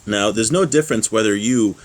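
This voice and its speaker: A man's voice: lowish voice, fairly quick. A man giving an informal religious lesson, reading from a text and explaining it with small check-in questions. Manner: explanatory, with rhetorical check-ins like "right?". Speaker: religious teacher giving a class.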